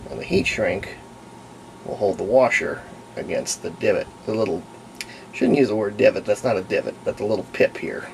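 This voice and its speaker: A man's voice: fairly low pitch, normal speed, vocal fry, a little hiss or hum, poor audio. A man talking casually and unscripted in a folksy way as he demonstrates a hands-on repair at an indoor workbench. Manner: folksy, unscripted, narrating while working. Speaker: hobbyist radio restorer presenting a repair.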